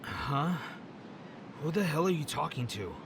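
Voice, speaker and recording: Hungover male party animal: groggy, grumpy yet affable, swinging pitch, distant noisy recording, audio drama. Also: very animated, unhurried, creaky, full character voice. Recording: noisy, off-mic with rumble.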